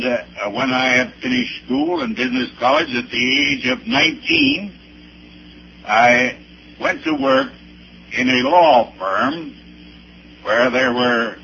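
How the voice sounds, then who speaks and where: Elderly male pastor, slow and emphatic, hugely animated pitch, recounting his life on a dull old recording. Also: vocal fry, a little hiss or hum. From Arkansas, United States. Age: 70s or older. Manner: deliberate, halting, with emphatic spelled-out dates and places. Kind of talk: personal testimony with anecdotes, preaching delivery.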